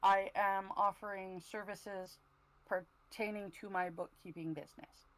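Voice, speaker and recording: A woman chatting casually as a livestream panelist, halting and easily flustered as she speaks. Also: mid-range voice, steady tone, rough recording. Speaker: panelist on a talk show.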